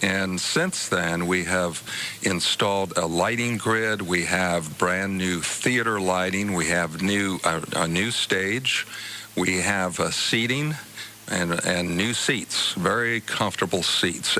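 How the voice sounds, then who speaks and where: Older American male interview guest. Low pitch, clear forward edge, measured and halting, faint noise behind the voice. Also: sharp tone. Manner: halting, measured, pauses between short phrases. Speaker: interview guest, college department chair and theater director. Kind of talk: radio interview, explaining.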